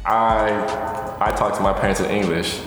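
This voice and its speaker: A young man from Texas who talks in a deep, fairly monotone voice, in relaxed slangy chat with frequent laughs. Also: normal speed, heavy reverb, long reverb tail, soft background music. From Texas, USA. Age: young adult. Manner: laid-back slangy talk, laughs often. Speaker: podcast guest. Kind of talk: casual chat.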